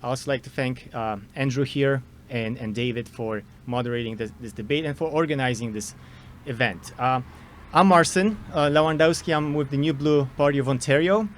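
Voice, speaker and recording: A man's voice: mid-range voice, fairly quick, slight background noise. A man from Poland with a Polish accent, introducing himself as a candidate at an informal outdoor debate, hesitant and full of fillers and restarts. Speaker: political candidate.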